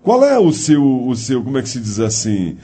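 A man hosting a radio interview show, talking with an animated, swinging pitch. His voice is dark and slightly husky. He chats loosely and laughs at himself between questions. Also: normal speed. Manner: chatty, laughs at himself, self-deprecating. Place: radio studio.

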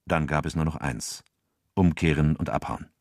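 A man's voice: a bass voice, steady tone, moderate pace, heavy background noise. A man narrating a crime radio drama from a script as its storyteller.

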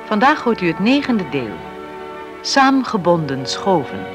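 A man's voice: high-pitched, very animated, a little slow, over music. A man reading a scripted announcement as the announcer of a radio drama.

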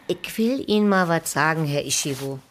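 Gossipy middle-aged landlady, lowish voice, sighs and grumbles, sentimental, radio drama. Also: expressive, moderate pace, slight background noise. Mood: confiding. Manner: colloquial, sighing, complaining. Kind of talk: German radio play dialogue.

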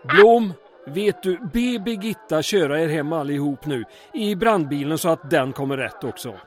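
A man acting a patient, indulgent small-town mayor in a radio drama, fatherly and gently firm. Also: a little high, expressive, music in the background, lightly acted.

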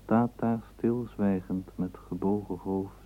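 A man narrating a literary radio play in a measured, dramatic storytelling manner. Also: sits low, fairly even intonation. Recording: tinny, narrowband old radio tape, faint hiss.

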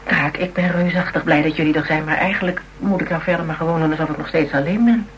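A woman's voice: sits low, quick pace, muffled recording, lightly acted. An actress playing a stubborn, feisty woman in a radio play, talking bluntly and wryly. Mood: nervous resolve. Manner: colloquial, blunt, wry. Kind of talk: radio drama acting. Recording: old radio play, background noise.

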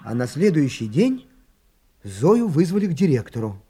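A man's voice: fairly high pitch, sing-song, normal speed, music in the background. A man narrating a radio play as a wry, confiding storyteller.

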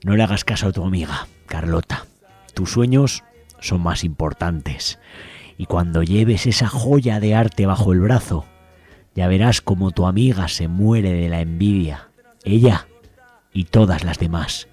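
A man's voice: deep voice, measured pace, darkish tone, slightly rough, soft background music. A man voicing a pompous, hungover female agony aunt in a comic radio parody, grandiose and gushing.

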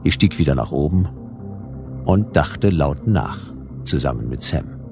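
An actor playing a laconic hard-boiled detective, narrating his case in a dry, deadpan way for a German radio play. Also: extremely low pitch, measured pace, full character voice. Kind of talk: noir first-person radio play narration. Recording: music bed under the voice. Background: music.

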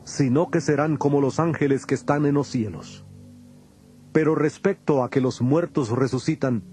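An actor playing a solemn, firm male teacher with authority, speaking in a measured, declamatory way in a dramatized scripture recording. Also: mid-range voice, warm tone, natural voice. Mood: firm, admonishing.

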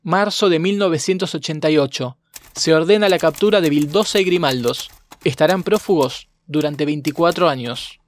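A man narrating a documentary chronology from a script in a measured, formal way. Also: on the high side, slight background noise.

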